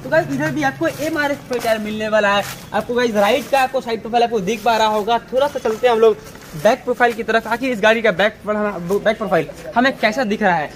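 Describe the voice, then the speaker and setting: A young man walks viewers around an outdoor used car lot, his voice pitched very high. He presents in a repetitive way, leaning on the same fillers again and again. A faint hum of outdoor noise sits behind him, picked up on a phone camera. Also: quick pace. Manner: repetitive filler-heavy presenting, constant "guys". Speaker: car showroom video host. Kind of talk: walkaround car tour, addressing viewers.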